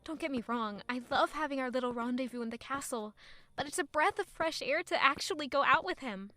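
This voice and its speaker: A woman's voice: high-pitched, fairly quick, bright, forceful tone. A woman playing a romantic, curious young woman in an audio drama, narrating and speaking with a playful sense of wonder. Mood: playful, excited. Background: faint music.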